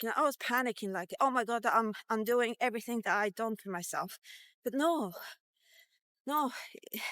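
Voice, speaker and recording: A woman's voice: fairly high pitch, a bit bright, no echo. A woman in her fifties talking candidly in a rambling vlog while out walking, with many fillers. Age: 50s. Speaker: vlogger. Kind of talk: casual walk-and-talk vlog. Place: outdoors, walking.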